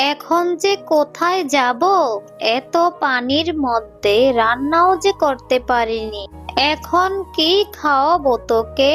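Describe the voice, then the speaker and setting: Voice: sits high, fairly bright tone, heavily acted. A performer voicing a worried, protective mother fox in a children's cartoon, speaking in a fretful, tender way.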